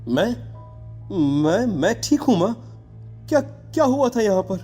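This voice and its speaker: A woman's voice: fairly high pitch, sing-song, measured pace, mellow, husky, faint music behind, heavily acted. A woman voicing a frightened young boy in a horror cartoon, fearful and pleading with his mother. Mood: scared, uneasy.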